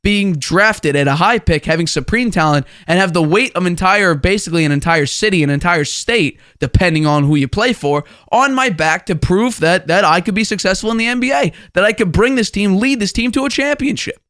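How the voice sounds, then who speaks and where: A young man of about twenty with a high-pitched voice hosts a solo sports show. He talks in an opinionated, conversational way and hammers points home by repeating himself. The sound is close and dry, with very little room in it. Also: brisk, hardly any pauses, a bit bright, no room sound. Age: 20 years old. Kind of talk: sports commentary monologue.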